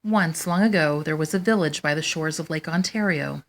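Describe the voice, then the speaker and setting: A woman hosting storytime, reading a children's picture book aloud in a measured, expressive way. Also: sits low. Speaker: storytime host and reader.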